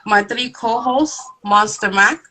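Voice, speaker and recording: A woman's voice: a little high, takes it slowly, bright, forceful tone, poor audio. A woman hosting a casual livestream chat in an informal, slangy way. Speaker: podcast host. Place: remote video call.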